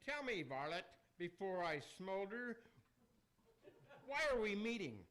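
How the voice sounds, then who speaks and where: A man's voice: high-pitched, big pitch swings, a little slow, fairly bright tone, exaggerated voice. A man playing a vain, peevish damned soul with a fussy, theatrical delivery, in an amateur radio play. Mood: peevish, indignant.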